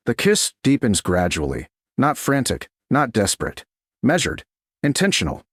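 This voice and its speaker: A man narrating a scripted romance story in a measured, deliberate, dramatic way, phrase by short phrase. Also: mid-range voice, wildly swooping pitch, a bit bright, husky, no room sound, clean studio sound. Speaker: audiobook narrator.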